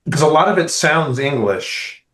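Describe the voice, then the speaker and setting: A man co-hosting a podcast, telling a rambling, animated travel story in casual, profane chat. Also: on the high side, moderate pace. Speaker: podcast co-host. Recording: roomy echo.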